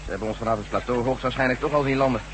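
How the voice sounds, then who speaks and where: An actor playing a brisk, cool-headed general with a dry, commanding manner in a science-fiction radio drama. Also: male voice, medium pitch, a bit bright, natural voice. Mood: dry, calm. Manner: brisk, commanding, drily teasing. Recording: noisy hissy old radio recording.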